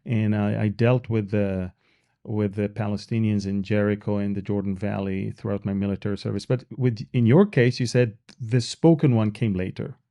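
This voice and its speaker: A man with an Israeli accent hosting a podcast interview, talking in a relaxed, conversational way. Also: lowish voice, normal speed. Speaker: podcast host.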